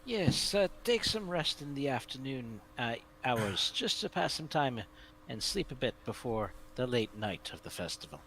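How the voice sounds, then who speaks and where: A man's voice: medium pitch, very animated, moderate pace, a bit bright, slight background noise, low-quality recording. A man talking casually as a player in a tabletop game.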